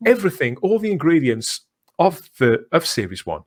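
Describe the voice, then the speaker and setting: A man's voice: a little high, very animated, moderate pace. A British man in his forties hosting a podcast in a casual, playful, jokey way. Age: 40s. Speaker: podcast host. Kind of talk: casual conversational chat.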